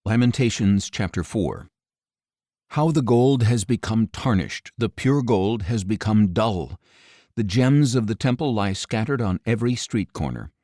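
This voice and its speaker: Low-voiced man solemnly reading scripture. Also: animated, warm tone, no echo, studio quality. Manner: measured, grave delivery with deliberate phrasing. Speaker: audiobook narrator.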